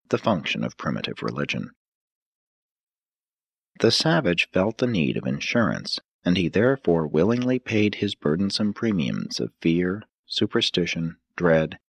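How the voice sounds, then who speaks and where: Deep, husky male audiobook narrator. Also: dry recording. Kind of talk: scripted narration, audiobook reading.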